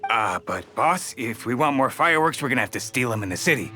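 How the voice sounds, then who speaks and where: A man's voice: mid-range voice, animated, fast talker, bright, forceful tone. A man playing a fretful, deferential bandit henchman in a video game, slangy and hesitant. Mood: doubtful, eager. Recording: music behind the voice.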